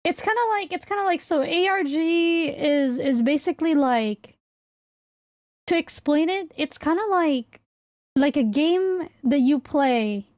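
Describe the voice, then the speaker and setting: A woman hosting a casual livestream in a high voice. She talks slowly and hesitantly, thinking out loud as she goes. The sound is dry, with no room echo. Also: lively intonation. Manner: hesitant, rambling, thinking aloud. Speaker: livestreamer and VTuber host.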